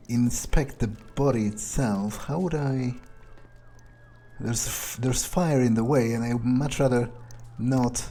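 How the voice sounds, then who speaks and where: A man's voice: mid-range voice, dark tone. A man playing a callous, darkly amused vampire, musing hesitantly to himself as in-character video game commentary. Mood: musing, searching.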